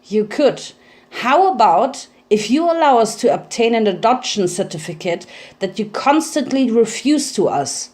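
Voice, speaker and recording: A woman's voice: on the high side, very animated, normal speed, darkish tone. A woman voicing an exasperated, argumentative pony husband who runs a bakery, in a fan fiction reading. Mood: fed up. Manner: indignant, argumentative. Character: irate exasperated married male pony baker.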